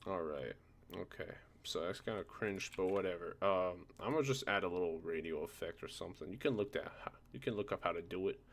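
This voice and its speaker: A man presenting a software tutorial informally, often checking that the listener is following along. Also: sits low, a little fast, gravelly. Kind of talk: casual screen-recorded software tutorial.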